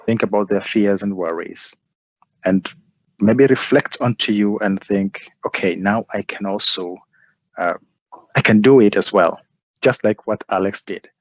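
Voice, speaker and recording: A man's voice: on the low side, unhurried, no room sound, lo-fi sound. A man hosting an interview, summing up the guest's story and often affirming him with "that's right". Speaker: interview host.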